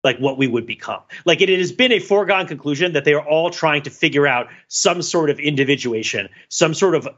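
A man's voice: on the high side, expressive, a little fast, few pauses, fairly bright tone, muffled recording. A man co-hosting a podcast discussion, talking casually with many fillers, restarts and little laughs. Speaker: podcast co-host.

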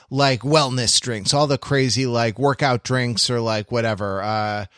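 A man speaks as a podcast co-host at a middling pitch, recorded close and dry. His delivery is casual and wry. He halts often and restarts his phrases as he explains. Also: a bit bright, no echo. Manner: halting, wry, self-interrupting. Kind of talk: casual discussion, summarizing and quoting an article.

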